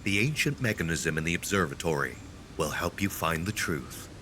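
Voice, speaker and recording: An actor playing a solemn mystical guardian of sacred grounds in a video game, speaking gravely and ceremoniously. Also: male voice, deep voice, expressive, moderate pace, background noise. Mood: solemn, benevolent. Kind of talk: dramatic video game acting.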